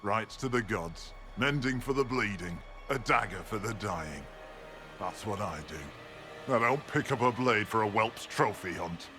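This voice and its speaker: An actor playing a proud, devout mountain barbarian healer in a video game, speaking in terse, solemn lines. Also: male voice, on the low side, animated, unhurried, over music. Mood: gruff, solemn.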